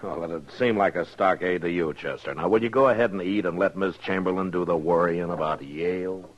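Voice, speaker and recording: An actor as a deep-voiced, dry frontier marshal. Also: male voice, brisk, highs cut off, faint music behind, lightly acted. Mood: annoyed, dry. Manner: terse, dry. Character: gruff, dry, long-suffering frontier marshal. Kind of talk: old-time radio western acting. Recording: faintly noisy old radio recording.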